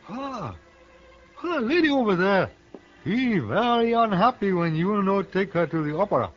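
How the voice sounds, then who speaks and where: Fussy, deferential porter, very high swooping voice, broken English, muffled vintage radio drama. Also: male voice, very animated, measured pace, soft background music, full character voice. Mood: anxious, warning. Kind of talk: 1960s radio comic-relief acting. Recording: muffled old radio broadcast recording.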